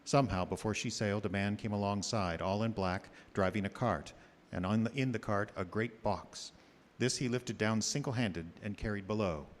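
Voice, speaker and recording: An actor playing an earnest gentleman diarist, narrating gravely and with measure in a live gothic horror radio drama. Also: on the low side, big-room sound, a little hiss or hum, lightly acted.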